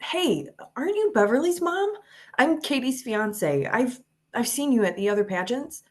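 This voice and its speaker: High, urgent voice of worried partner woman acting. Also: big pitch swings, normal speed. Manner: urgent, pressing. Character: worried, insistent fiance of a missing contestant. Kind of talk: screenplay table read acting.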